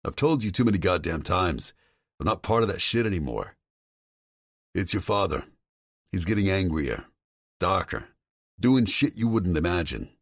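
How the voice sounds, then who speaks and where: A man's voice: low voice, expressive, moderate pace, pauses often, no room sound, pitched above the performer's own voice. A man voicing an agitated brother who warns urgently over the phone. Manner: urgent, swearing, insistent.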